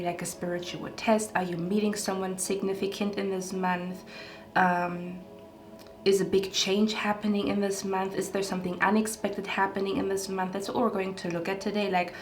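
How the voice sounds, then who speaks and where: A woman reads tarot cards straight to camera in a loose, rambling way, full of fillers and checking in with "okay?" after her phrases. Her voice sits at an ordinary middle pitch. The room around her rings with noticeable echo. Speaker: tarot card reader. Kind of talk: casual pick-a-card tarot reading to camera. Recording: echoey room.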